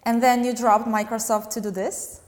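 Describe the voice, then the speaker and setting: A woman's voice: on the high side, flat delivery, moderate pace, a little mellow. A woman interviewer asking curious, probing questions of a guest. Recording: long reverb.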